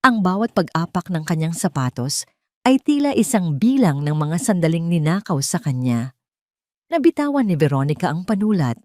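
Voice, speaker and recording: A woman with a Filipino accent reads a dramatic story as its narrator. Her voice sits a little low. The sound is dry, with hardly any room around it. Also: sing-song, normal speed, no echo.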